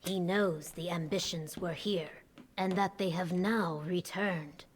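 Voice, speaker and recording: A woman voicing a steadfast female lizard-folk legion commander in a video game, measured, solemn and formal. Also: lowish voice, steady tone, breathy edge. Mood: grave, resolute. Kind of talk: video game character acting.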